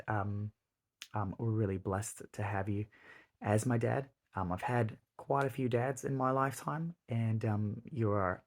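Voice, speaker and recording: A man in his thirties giving his father a heartfelt birthday tribute, earnest and halting with many pauses and restarts. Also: lowish voice. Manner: earnest, reflective. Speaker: son.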